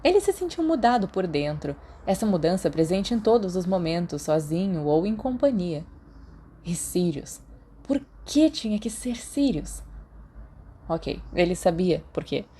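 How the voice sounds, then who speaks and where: A woman's voice: on the low side, very animated, measured pace, warm tone, slight background noise. A woman with a Brazilian accent reading a story aloud as scripted audiobook narration.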